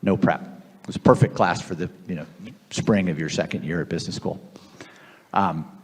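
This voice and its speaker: A man in his fifties from Boston with an American accent, telling his own story as an interview guest in a measured, reflective way. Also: low voice, fairly even intonation, a little mellow, husky. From Boston area, United States. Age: 50s. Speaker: guest, investor. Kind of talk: conversational personal storytelling on stage. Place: large event room at a gathering. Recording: echoey hall with long reverb.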